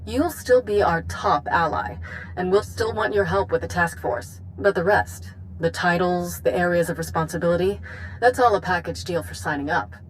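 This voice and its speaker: An actress playing a brisk, confident, wry woman chancellor in a science fiction video game. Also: lowish voice, a little hiss or hum, close to an everyday voice, poor audio. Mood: matter-of-fact. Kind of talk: video game cutscene acting. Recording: music under the voice.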